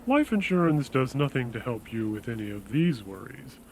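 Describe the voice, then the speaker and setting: Radio drama salesman, played by a man: medium-pitched male, courteous and persuasive, quietly reassuring about grim business, some noise behind. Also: big pitch swings, creaky. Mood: ominously reassuring. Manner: measured, persuasive, politely solicitous. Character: smooth-talking, reassuring male death insurance salesman. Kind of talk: audio drama dialogue.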